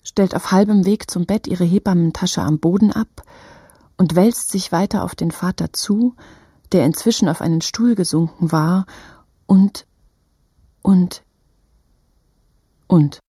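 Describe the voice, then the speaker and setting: A woman narrates a literary radio play. Her voice is very husky, dark and a little low, recorded dry. She phrases in halting fragments. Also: dry recording. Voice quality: husky, breathy.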